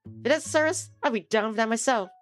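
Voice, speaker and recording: A woman's voice: a little high, quick pace, soft background music, lightly acted. Woman voicing a teasing, slangy jock boy. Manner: slangy, ribbing. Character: teasing, laid-back male student footballer, jokey bro.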